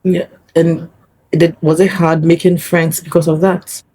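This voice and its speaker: A woman hosting a podcast interview, asking gentle questions and listening attentively with short murmurs. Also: low-pitched, level tone, a little slow, dry recording. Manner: attentive, with brief murmured acknowledgments. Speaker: podcast host.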